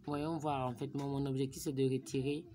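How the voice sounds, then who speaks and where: A woman's voice: very deep voice, little pitch movement, fairly quick, warm tone, a little hiss or hum. A woman narrating a phone screen recording as a tutorial, thinking aloud as she types in numbers and sometimes dropping to a murmur. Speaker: vlogger.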